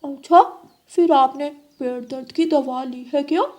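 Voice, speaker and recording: Woman doing a little boy crow in a cartoon, high mellow voice, naive and earnest. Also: measured pace. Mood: curious. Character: trusting, stubborn young male crow. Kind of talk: children's animal cartoon voice acting.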